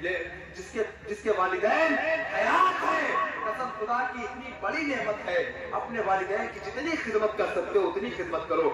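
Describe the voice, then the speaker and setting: High-voiced young man preaching in a booming echo. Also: lively intonation, normal speed. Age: young adult. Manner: impassioned, shouted oratory with rhythmic repetition. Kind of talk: Eid sermon. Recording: echoey hall, distant mic, loud crowd. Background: loud crowd.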